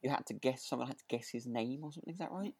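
A man co-hosting a relaxed, chatty podcast, talking in a good-humoured, hesitant way and often correcting himself. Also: medium pitch, racing, crowd noise. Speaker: podcast co-host. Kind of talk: relaxed casual chat about a radio drama.

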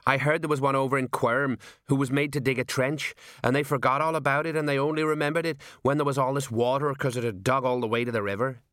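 A man voicing a stolid, slow-witted, suspicious police sergeant in an audiobook, with plodding, homely, ungrammatical talk. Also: medium pitch, fairly even intonation, a bit bright, no echo, studio quality, voice pushed up, lightly acted. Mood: stolid, doubtful. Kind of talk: audiobook character voice.

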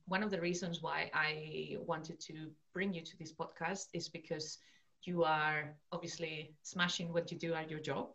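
A woman's voice: low voice, flat delivery, a little slow, gravelly, a bit bright. A woman hosting a podcast interview, enthusiastic and hesitant, with many fillers. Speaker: podcast host. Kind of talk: casual interview chat.

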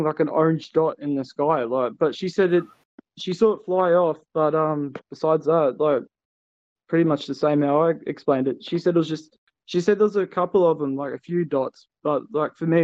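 A man speaking hesitantly, full of "like" and "uh". His voice sits a little high. The recording is dry and muffled, with no room sound. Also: normal speed, a little mellow, no echo. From Australia. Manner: hesitant, many fillers. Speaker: guest. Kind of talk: casual call-in interview.

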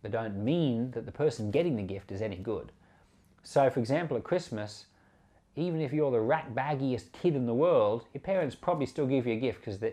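A man's voice: medium pitch, warm tone. A man preaching an informal Bible commentary in an earnest, conversational way, repeating and rephrasing his points for emphasis. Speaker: preacher and Bible podcast host. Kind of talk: informal sermon commentary on scripture.

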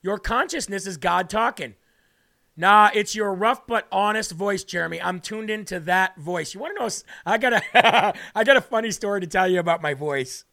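A man with a raspy voice hosting a faith livestream, preaching and reading viewer comments aloud, often repeating phrases for emphasis. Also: high voice, normal speed, sharp tone. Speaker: host of a faith livestream show.

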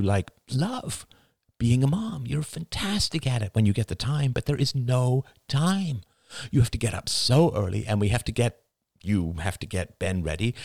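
A man's voice: mid-range voice, gravelly, a little mellow, no echo, studio quality, pitched above the performer's own voice. A man voicing an anxious, earnest young father who pleads with feeling in an audiobook reading. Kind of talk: audiobook dialogue.

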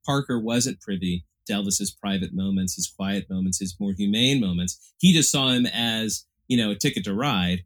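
A man's voice: fairly low pitch, moderate pace, few pauses, fairly bright tone. A man co-hosting a casual film review chat, thinking aloud in long, winding, thoughtful remarks. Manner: discursive, thoughtful, many fillers. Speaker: film critic co-host.

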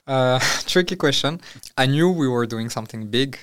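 Hesitant man from Morocco, smooth voice. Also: mid-range voice, lively intonation, normal speed, a bit bright. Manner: hesitant, many fillers and restarts. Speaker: startup founder guest. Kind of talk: casual interview answers.

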